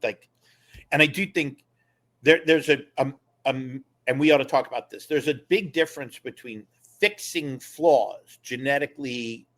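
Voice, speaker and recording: A man of middle pitch speaks as a guest on a podcast. He explains in an animated, emphatic way, pushing his points with quick asides. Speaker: guest, former government official and attorney. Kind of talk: casual interview conversation.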